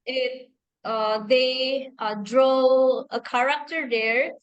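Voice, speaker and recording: A young woman from Indonesia whose first language is Japanese, talking in a relaxed conversation with many pauses, fillers and restarts, and soft laughs. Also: high voice, muffled recording. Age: young adult. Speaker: host. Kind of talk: casual conversation.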